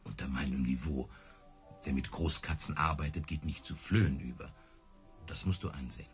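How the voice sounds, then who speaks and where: An actor playing a proud, easily hurt circus tamer in radio play dialogue, earnest and touchy. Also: male voice, rumbling low, moderate pace, soft background music. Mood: proud, indignant.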